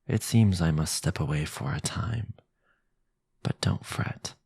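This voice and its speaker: A sinister vampire lord for an audio roleplay. His low voice is very husky and breathy, crackling with gravel. He speaks with formal, possessive authority and silky menace. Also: darkish tone, dry recording, full character voice. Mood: possessive, commanding. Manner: commanding, formal, drawn-out menacing delivery. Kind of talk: boyfriend ASMR audio roleplay.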